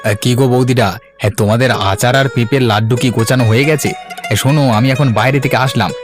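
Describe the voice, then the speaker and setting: A male actor voices a young working villager in a cartoon, hearty and emphatic. He rattles along quickly in a medium-pitched voice, with prominent plucked-string music behind him. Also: fairly even intonation, close to an everyday voice. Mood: brisk, hearty. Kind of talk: Bengali cartoon voice acting.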